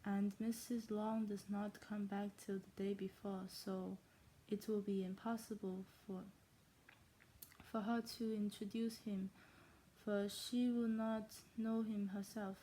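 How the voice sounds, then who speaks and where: A woman voicing a fretful, excitable middle-aged mother from a classic novel, exclaiming her complaints. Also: medium pitch, takes it slowly, a little hiss or hum, natural voice, poor audio. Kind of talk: amateur read-aloud of a classic novel.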